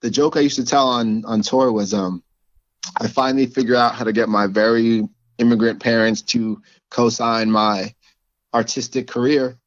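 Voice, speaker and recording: A man's voice: medium pitch, steady tone, moderate pace, dry recording, muffled recording. A man, an author and teacher, talking thoughtfully in a casual conversation, often restarting his sentences.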